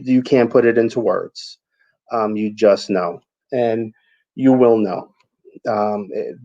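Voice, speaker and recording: A man's voice: mid-range voice, a little mellow, dull audio. Hesitant New York man hosting a reading. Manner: hesitant, many ums and restarts, earnest. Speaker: psychic reader, show host. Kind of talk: live-stream reading and chat with viewers.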